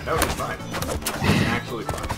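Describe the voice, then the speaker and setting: A man's voice: mid-range voice, normal speed. A man from Canada chatting casually and teasing his friends while playing a game. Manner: animated, teasing. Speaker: player in a group game session. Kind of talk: casual gaming banter. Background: loud video game music.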